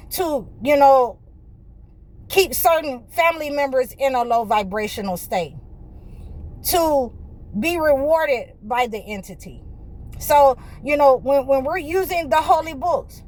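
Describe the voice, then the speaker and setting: A woman with a high voice preaches to her viewers in a fervent, circling way. She keeps restarting her sentences and leaning on "you know", and at times pushes up close to a shout. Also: rough recording. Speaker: spiritual healer and channel host.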